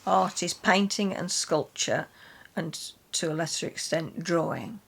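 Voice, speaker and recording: A British woman hosts an informal chat about poetry. Her voice sits a little low and she takes her time over her words. She is wry and opinionated as she talks. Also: unhurried, creaky, slightly rough. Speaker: host of a poetry reading and book chat. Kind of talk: casual literary chat.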